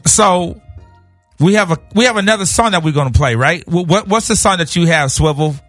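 Radio host dude, kinda high voice, slangy and agreeable, casual chat w some music under it. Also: male voice, animated, brisk, a bit bright, no echo. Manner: slangy, affirming. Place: radio show studio.

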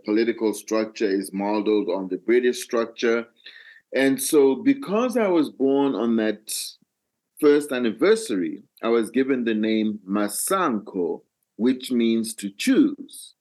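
An older man with a Malawian accent, telling his own story as an interview guest in a deliberate, phrase-by-phrase way. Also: fairly low pitch, darkish tone. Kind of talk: reflective personal storytelling in an interview.

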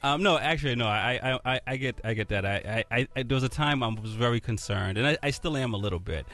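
A man's voice: medium pitch, quick pace, fairly bright tone. A man hosting a casual interview, stammering and repeating words as he asks questions and laughs often. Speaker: host.

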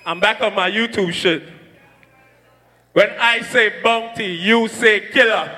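A man from Trinidad working as a sound system selector, shouting hype calls to the crowd during a live sound clash. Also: high voice, measured pace. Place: packed dance hall at a live event. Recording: live hall reverb with music.

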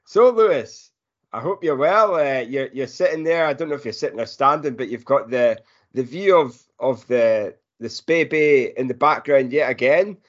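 A man's voice: mid-range voice, lively intonation, moderate pace, dull audio. A man co-hosting a podcast in casual sports chat. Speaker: podcast co-host.

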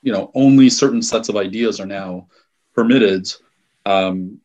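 A man from Iran talking as an interview guest, thoughtful and measured, often restarting and qualifying his words. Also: fairly low pitch, a little mellow, dull audio. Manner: thoughtful, with frequent restarts and hedges. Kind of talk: conversational interview talk.

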